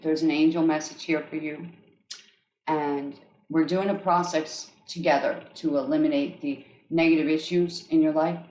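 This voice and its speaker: A woman with an accent, an energy healer speaking gently and often checking in with her listeners as she describes guided healing tracks. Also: deep voice. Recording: echoey room.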